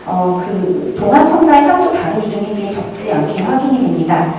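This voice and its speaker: A woman's voice: medium pitch, mellow. Echoey hall recording of a woman lecturing. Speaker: academic presenter at a museum conference. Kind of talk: formal prepared lecture presentation. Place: large echoing indoor hall at a conference. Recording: very echoey hall, distant mic, faint noise.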